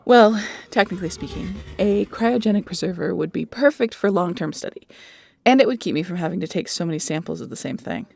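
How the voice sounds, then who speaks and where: A woman voicing a snarky, excitable young scientist in an audio roleplay, chatty and nerdy. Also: lowish voice, a little fast, creaky. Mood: nerdy, explaining. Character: snarky, excitable young female scientist aboard a pirate ship. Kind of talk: audio roleplay acting addressed to the listener.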